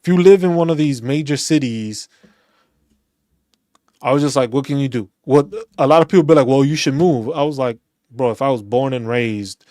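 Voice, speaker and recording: A man, a veterans' channel host, speaking in a casual, animated rant, often restarting and repeating phrases. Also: medium pitch, normal speed, no echo, studio quality. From New York City. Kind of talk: casual opinion talk.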